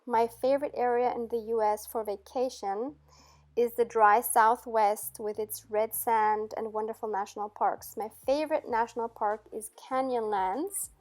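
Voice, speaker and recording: A middle-aged woman raised in Austria, answering viewer questions in a relaxed vlog with many "um"s and little laughs. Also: fairly high pitch, normal speed. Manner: chatty, frequent "um" and soft laughs. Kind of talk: casual Q&A chat.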